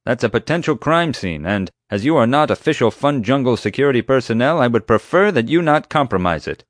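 A stiff, officious security chief, acted out by a male audiobook narrator. He speaks in a pompous, commanding way, clipped and pushed well above the reader's usual pitch, in a dry recording. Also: lively intonation, brisk, dry recording, close to an everyday voice. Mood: officious, stern. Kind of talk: audiobook character dialogue.

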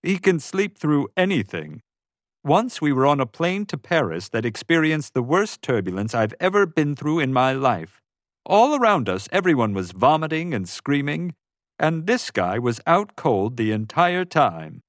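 Man acting stuffy, exasperated fellow with allergies, raised pitch. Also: very animated, a little slow, no echo. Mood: emphatic, weary. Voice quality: congested, nasal. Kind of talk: audiobook character voice.

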